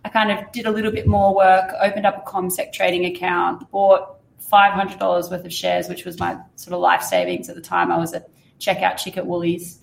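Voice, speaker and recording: Hoarse, gravelly Australian woman. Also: lowish voice, lively intonation, a little fast, roomy sound, slight background noise, rough recording. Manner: chatty, laughs often, self-deprecating. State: croaky, hoarse voice. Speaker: fund manager guest. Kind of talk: casual interview chat.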